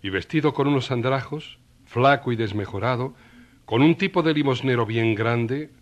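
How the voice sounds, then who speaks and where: A man's voice: medium pitch, normal speed, highs cut off. A man narrating a scripted story in a colloquial, storytelling style.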